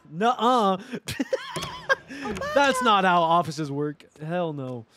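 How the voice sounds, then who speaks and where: Young male streamer, high-pitched, swings all over, loud and sweary, headset mic. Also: drawn-out words, noisy background. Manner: loud, swearing, joking, animated. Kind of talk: casual gameplay commentary with chat. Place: home gaming room, indoors.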